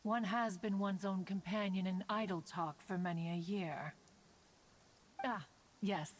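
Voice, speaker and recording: A woman's voice: mid-range voice, steady tone, unhurried, close to an everyday voice. A woman voicing an ancient, dignified, motherly female immortal in a video game, with stately, archaic formal speech. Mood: composed, coaxing. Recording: soft game music behind the voice.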